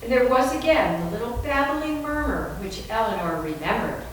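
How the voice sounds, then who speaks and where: A woman narrates a story with animated intonation at a medium pitch. The sound is very echoey and far from the mic, with faint noise. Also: normal speed. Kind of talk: expressive story narration, live readers theater.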